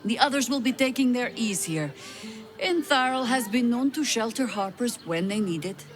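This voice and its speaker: A woman's voice: a little high, lively intonation, moderate pace, faint music behind, lightly acted. A woman playing a seasoned agent in a video game, with a dry, familiar manner. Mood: dry, assured. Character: seasoned, dry-witted female Harper agent.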